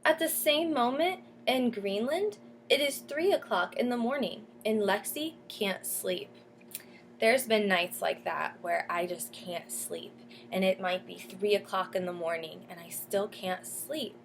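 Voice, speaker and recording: A woman teacher reading a picture book aloud to children, pausing to explain and ask questions. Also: medium pitch, vocal fry, a little hiss or hum. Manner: explains and asks questions as if to young listeners. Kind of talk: storybook read-aloud with comments to children.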